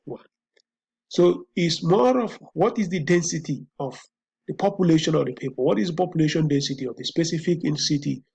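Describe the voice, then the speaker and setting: A man's voice: fairly high pitch, darkish tone, breathy edge, dull audio. A man with a Nigerian accent hosting a solo commentary show, thinking aloud with frequent repeats and restarts. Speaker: host.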